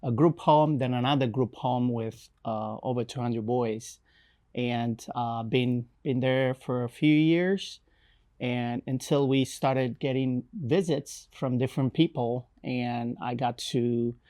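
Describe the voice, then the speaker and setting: Man, Romanian accent, young adult, mid-pitched, halting, fillers and restarts. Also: fairly even intonation, warm tone. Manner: hesitant, many fillers and restarts. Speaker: missionary guest. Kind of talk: interview, personal testimony.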